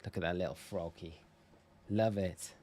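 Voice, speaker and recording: A man's voice: low-pitched, steady tone, moderate pace, warm tone, slight background noise. A man from northeast England with a northern English accent, chatting casually and informally to viewers as a live game streamer. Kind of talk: casual livestream chat while gaming.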